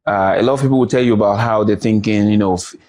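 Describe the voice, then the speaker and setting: A man's voice: fairly low pitch, fast talker, a little mellow. Man hosting a TV interview. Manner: conversational, rambling restarts in questions. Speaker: TV interviewer host. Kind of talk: studio interview.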